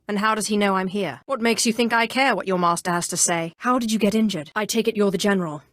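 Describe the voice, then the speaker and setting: A woman's voice: mid-range voice, fast, no echo, clean studio sound, natural voice. A woman voicing an imperious, haughty Sith sorceress in a video game, speaking in a commanding, controlled and theatrical way with cool menace. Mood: haughty, suspicious. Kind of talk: scripted video game voice acting.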